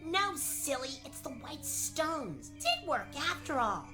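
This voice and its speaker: A woman's voice: high voice, very animated, slow, music in the background, exaggerated voice. A woman playing a grumpy, exasperated little sister with snippy insults in a comedic fairy-tale radio play. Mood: grudging.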